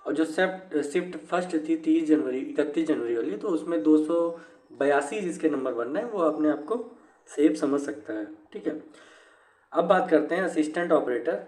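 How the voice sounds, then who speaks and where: A man giving an informal, explanatory exam briefing in a repetitive, halting way. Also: a little high, darkish tone. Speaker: exam news presenter. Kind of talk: informal explanatory commentary on exam cut-off scores. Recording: echoey room.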